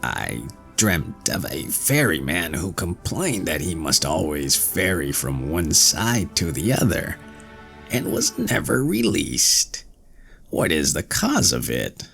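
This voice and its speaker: Old crafty grandmother in a fairy tale, read by a man: low, gravelly, unhurried. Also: very animated, a bit bright, exaggerated voice. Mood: calm. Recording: faint music behind.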